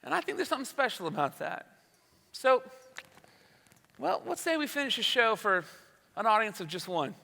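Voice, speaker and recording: Man playing folksy radio emcee, very high bright voice, earnest and gracious, halting pauses, big hall reverb. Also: sing-song, gravelly, heavily acted. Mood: reflective, encouraging. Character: earnest, gracious male host of an old-time radio variety show. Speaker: radio show host. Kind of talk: stage acting as radio show emcee. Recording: reverberant hall stage sound.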